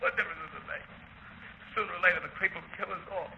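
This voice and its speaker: An actor in a 1930s radio drama playing a half-starved prisoner unravelling in chains, bitter, melodramatic and repetitive with despair. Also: male voice, fairly high pitch, fairly even intonation, racing, gravelly. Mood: hysterical despair. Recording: dull noisy 1930s radio recording.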